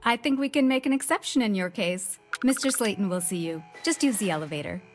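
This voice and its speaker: A woman's voice: medium pitch, animated, fairly quick, over music, lightly acted. An actress playing a polite, professional female receptionist.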